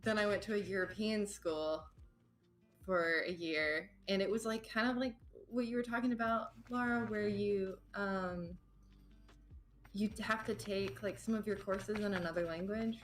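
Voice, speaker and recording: A woman's voice: medium pitch, steady tone, moderate pace, soft background music. A woman from Texas with a slight lisp, telling rambling stories in a casual chat and correcting herself as she goes. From Texas, United States. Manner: rambling, self-correcting storyteller. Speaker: guest.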